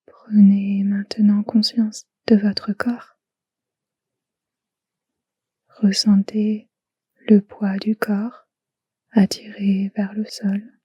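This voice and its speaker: Hushed, slow woman guiding relaxation. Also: mid-range voice, level tone, breathy edge, no echo, lo-fi sound. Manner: soft, hushed, soothing delivery in short phrases with long pauses. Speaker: yoga nidra guide. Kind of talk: guided relaxation meditation.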